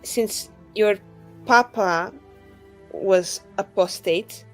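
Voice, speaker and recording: A woman roleplaying conversationally in a tabletop game, speaking in short, halting, questioning phrases. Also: mid-range voice, poor audio. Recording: background music.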